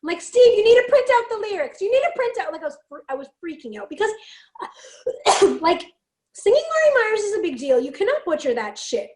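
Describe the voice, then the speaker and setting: A woman from Canada with a raspy, fairly deep voice, telling stories in a casual chat and acting out the lines she quotes. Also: a little fast, reverberant. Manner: animated, acts out quotes, laughs often. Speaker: podcast guest, singer and speaker.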